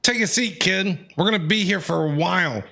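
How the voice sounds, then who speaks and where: A man's voice: high-pitched, brisk, creaky, pushed and bright. A man, a game streamer, giving casual live reactions in a slangy, joking, emotional way.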